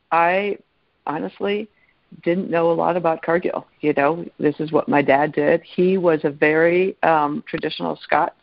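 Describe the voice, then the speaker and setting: Female guest, 60s, American accent, fry in the voice, conversational, dry lo-fi recording. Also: sits low, no room sound. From Minnesota, United States. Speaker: podcast guest. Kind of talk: casual autobiographical interview talk. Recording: dull, lo-fi call audio.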